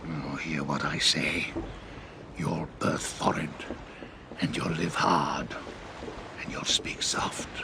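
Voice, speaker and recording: An actor playing a cunning, menacing pirate ringleader with a falsely genteel manner and old seafaring dialect in an audio drama. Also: male voice, very deep voice, slow, pauses often, full character voice. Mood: stern, scheming. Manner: old seafaring dialect, wheedling and threatening by turns. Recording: steady clear background noise.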